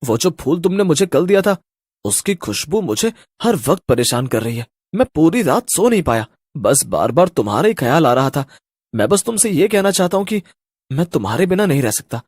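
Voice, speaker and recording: An actor playing a naive, lovestruck young man with a husky voice and an earnest, wondering way of talking in a horror story. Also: on the high side, sing-song, moderate pace, a little mellow, dry recording, studio quality. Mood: lovestruck pleading.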